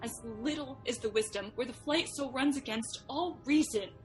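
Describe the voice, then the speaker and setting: Woman playing high bright anguished shakespeare mom, noble, emotional, audio drama with faint music. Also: normal speed, natural voice, rough recording. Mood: bitter, indignant. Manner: theatrical, emotional, declamatory. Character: anguished young noble mother, bitter and frightened. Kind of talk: Shakespearean radio drama acting.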